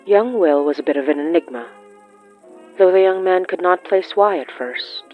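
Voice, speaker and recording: A narrator reading scripted period fiction in a measured, deliberate way with pauses. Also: medium pitch, narrow, tinny audio. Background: background music.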